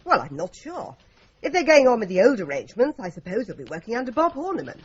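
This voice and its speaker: Sardonic, brisk secretary; slightly high, lively voice, lo-fi radio recording with faint hiss. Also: female voice. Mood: helpful. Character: sharp sardonic young female secretary. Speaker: office secretary. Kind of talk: radio drama acting. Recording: dull lo-fi radio play recording.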